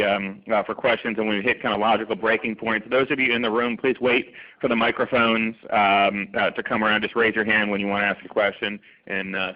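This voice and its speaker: A man's voice: lowish voice, dull audio. A man moderating a law firm seminar in a conference room, speaking with frequent ums and uhs. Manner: halting, many ums and uhs. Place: conference room with a live audience.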